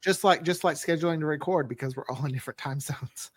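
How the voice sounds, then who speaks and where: Chatty, higher-voiced man in casual conversation. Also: quick pace, breathy edge. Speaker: writer for an audio drama. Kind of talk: casual behind-the-scenes group chat.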